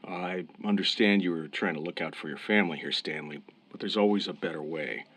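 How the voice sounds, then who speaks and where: An actor playing a calm, fair-minded police officer in a radio crime drama, measured and authoritative. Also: male voice, sits low. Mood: reasonable, firm. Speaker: police interrogator. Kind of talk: old-time radio crime drama acting.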